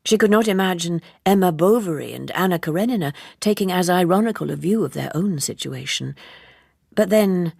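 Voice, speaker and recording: Low, very creaky woman audiobook narrator. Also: expressive, brisk, a little mellow, no echo, clean studio sound. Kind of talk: literary fiction narration, scripted.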